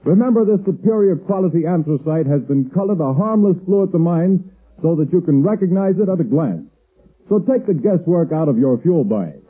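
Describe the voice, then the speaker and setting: A man's voice: on the high side, lively intonation, brisk, breathy edge. A man announcing and reading sponsor copy in scripted 1930s radio style. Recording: muffled, tinny 1930s radio recording.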